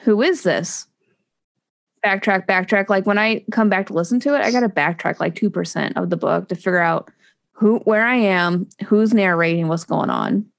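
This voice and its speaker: Gravelly-voiced woman chatting about books. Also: mid-range voice, moderate pace. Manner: chatty, rambling, many fillers and restarts. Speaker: podcast co-host. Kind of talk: casual chat about books.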